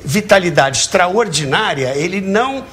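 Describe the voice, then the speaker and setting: An older man with a Brazilian accent, reminiscing as an interview guest in an animated, emphatic way. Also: high voice, moderate pace. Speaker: talk show guest, radio and TV educator.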